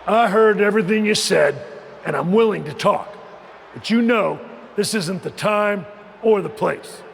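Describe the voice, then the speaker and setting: A man's voice: a little slow, creaky. An actor playing a stern, exasperated veteran wrestler turned boss, an American man speaking emphatically in a scolding wrestling promo. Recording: booming arena reverb, faint noise.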